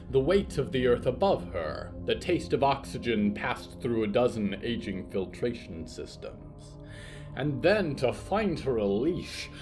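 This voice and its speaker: A male narrator reads dramatic fiction with a theatrical, literary delivery. His middle-pitched voice rises and falls widely, deliberate in its phrasing, over soft guitar music. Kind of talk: scripted dramatic story narration.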